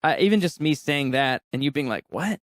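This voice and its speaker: Male musician guest, 30s, candid and laughing, smooth clear tone. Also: fairly high pitch, lively intonation, brisk, no room sound, studio quality. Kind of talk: casual interview chat.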